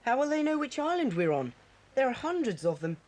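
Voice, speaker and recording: An actor playing a brave, steady schoolboy who takes charge, in a children's audio drama. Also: sits high, brisk. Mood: thoughtful. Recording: slightly hissy 1980s cassette tape.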